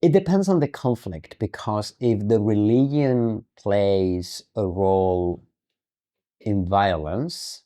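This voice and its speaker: Measured, low-voiced man in interview. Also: dark tone. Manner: measured, deliberate, often repeats words as he thinks. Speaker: podcast guest, human rights activist. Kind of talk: interview conversation.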